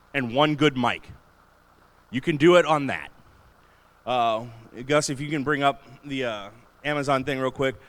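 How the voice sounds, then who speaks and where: A man with a booming voice giving an enthusiastic, chatty panel talk in a large convention room. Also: a bit bright. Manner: enthusiastic, chatty, laughs often. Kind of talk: casual panel talk on streaming gear.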